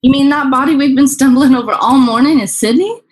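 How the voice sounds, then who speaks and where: An actress playing a fussy cleaning lady in 1940s radio style, fluttery and quick. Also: high voice, lightly acted.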